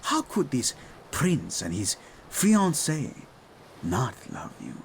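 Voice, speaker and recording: Man acting gravelly, swooping romantic king. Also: mid-range voice, huge pitch swings, a little hiss or hum, full character voice. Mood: earnest, soothing. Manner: tender, playful, unhurried endearments. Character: devoted, teasing Spanish-speaking king and husband. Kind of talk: intimate romantic audio roleplay.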